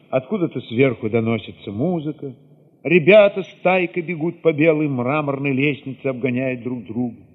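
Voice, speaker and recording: A man with a husky voice reads a literary first-person story theatrically, moving between hushed passages and sudden swells. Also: mid-range voice. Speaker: narrator reading an audio play. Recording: reverberant, slightly dull sound.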